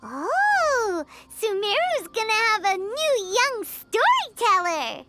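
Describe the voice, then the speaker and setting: A woman's voice: very high-pitched, big pitch swings, slow pace, very noisy, heavily acted. A woman voicing a tiny, chirpy fairy-like companion girl who talks about herself by name. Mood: cheerful. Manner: bubbly, refers to herself by name. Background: loud game music.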